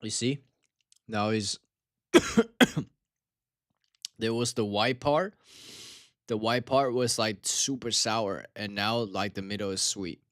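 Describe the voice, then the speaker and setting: A man's voice: fairly low pitch, steady tone, slow pace, pauses often, a bit bright. A tired young man from Taiwan, chatting to viewers on a livestream in slangy, swearing speech. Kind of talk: casual livestream chat.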